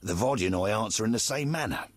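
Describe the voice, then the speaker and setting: A gossipy local who looks down on his neighbours. His husky, lowish voice swings widely as he mocks them. The sound is dry and close. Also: expressive, fast talker, no room sound. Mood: scornful. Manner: sneering, mocking tone. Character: scornful local man, gossiping and dismissive. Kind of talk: game dialogue.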